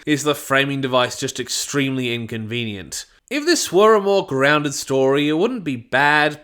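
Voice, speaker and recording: A man delivering a scripted, opinionated review as narration, in an expressive manner. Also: a little high. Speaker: YouTube audio drama reviewer.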